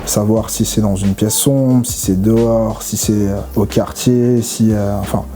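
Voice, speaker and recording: A man's voice: on the low side, measured pace, faint music behind. A young man, a stylist, chatting casually and humbly in an interview, with plenty of fillers and restarts. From Ghana and Nigeria heritage, raised in France. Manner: relaxed, humble, slangy French with many fillers and restarts. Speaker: stylist, guest. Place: barbershop.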